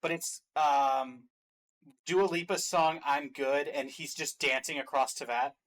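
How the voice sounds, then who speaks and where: A man's voice: on the high side, steady tone, measured pace, fairly bright tone. A man hosting a stream, giving casual reaction commentary.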